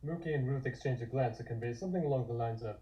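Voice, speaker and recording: A man's voice: mid-range voice, rapid-fire, lo-fi sound. A man reading scripted narration and stage directions.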